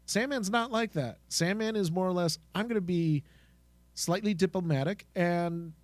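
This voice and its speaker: A man's voice: high voice, moderate pace, no echo. A man co-hosting a fan podcast, chatting casually in an enthusiastic, agreeable manner. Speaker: podcast co-host.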